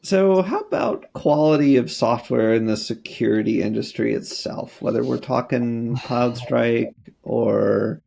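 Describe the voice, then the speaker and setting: A man's voice: medium pitch, a little slow, vocal fry, dark tone. A man co-hosting a podcast panel, talking casually and thoughtfully about app testing, with loose, trailing sentences. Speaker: podcast co-host and app tester.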